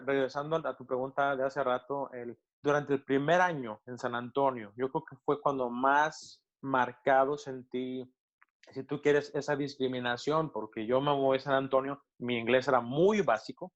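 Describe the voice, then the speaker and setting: A middle-aged man from Mexico, a pastor with a Mexican Spanish accent, answering interview questions thoughtfully and often pausing to restart his sentences. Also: mid-range voice, muffled recording. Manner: thoughtful, with many hesitations and restarts.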